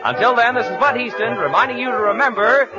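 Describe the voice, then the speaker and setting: American guy old time radio announcer, high voice, muffled recording w music. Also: fast. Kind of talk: 1940s radio advertising announcement. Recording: dull 1940 radio broadcast, music behind.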